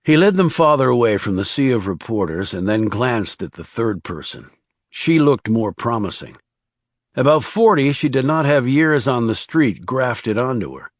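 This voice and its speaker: A man's voice: medium pitch, very animated, normal speed, dry recording, studio quality. A man reading scripted thriller narration as an audiobook narrator.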